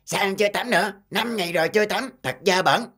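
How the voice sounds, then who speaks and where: A woman's voice: medium pitch, quick pace, very noisy, lightly acted. A woman voicing a stern, fussy older brother pencil in a children's cartoon, curt and scolding. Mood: annoyed, scolding. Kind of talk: children's animated cartoon dubbing.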